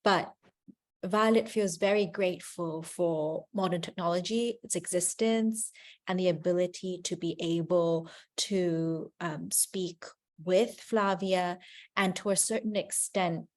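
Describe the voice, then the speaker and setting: Low-pitched woman interpreting an artist talk. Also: darkish tone. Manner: fluent, measured, with frequent ums. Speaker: moderator and interpreter. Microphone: video call.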